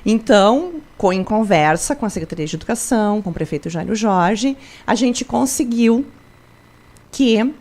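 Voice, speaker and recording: A woman's voice: medium pitch, animated, moderate pace, darkish tone. A woman from Brazil, a school principal, answering interview questions in an explanatory way, with frequent tag questions and restarts. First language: Portuguese.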